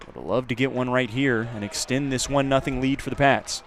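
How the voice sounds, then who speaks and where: A man's voice: mid-range voice, brisk. A man doing live play-by-play baseball commentary as a radio announcer. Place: outdoor ballpark. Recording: steady background noise at outdoor ballpark.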